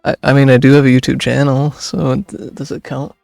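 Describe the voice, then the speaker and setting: Casting: young man, game streamer, strongly creaky voice, casual commentary, dry sound with faint music behind. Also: mid-range voice, little pitch movement, normal speed, darkish tone, no echo.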